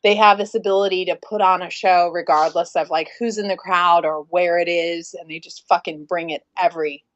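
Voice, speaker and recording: A woman's voice: lowish voice, steady tone, brisk, hardly any pauses. A woman talking informally and casually in a group conversation as a band member. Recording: dull, muffled lo-fi recording.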